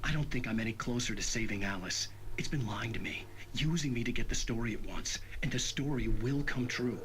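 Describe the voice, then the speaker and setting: A man's voice: mid-range voice, fairly quick, noisy background, lightly acted. An actor playing a troubled, haunted writer, narrating his ordeal in a brooding, dramatic game style. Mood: haunted, fearful.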